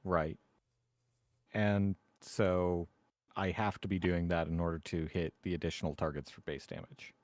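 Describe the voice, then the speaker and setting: A man's voice: deep voice, flat delivery, measured pace, no room sound. A man talking casually as a player at a tabletop roleplaying game.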